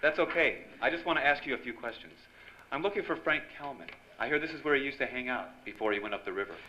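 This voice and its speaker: Casting: persistent slangy American gumshoe reporter, mid-pitched, hardboiled radio drama delivery, dull lo-fi sound. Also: male voice, expressive, fast talker, bright, forceful tone. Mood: probing. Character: wisecracking, persistent male newspaper reporter, noir hero. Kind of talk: old-time radio noir drama acting and narration. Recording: dull lo-fi live stage recording, clear noise.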